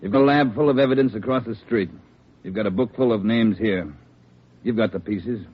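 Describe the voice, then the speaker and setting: An American man acting a brisk, exacting chief of detectives in a 1950s radio drama, firm and clipped. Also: fairly low pitch, breathy edge. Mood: firm, pressing. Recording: muffled vintage radio recording, faint noise.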